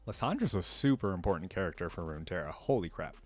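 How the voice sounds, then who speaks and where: A man's voice: sits low, animated, fast talker, slightly rough, no room sound. A man hosting a gaming lore reaction series, switching between reading aloud and informal commentary as he thinks aloud. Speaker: host of a video game lore reaction series.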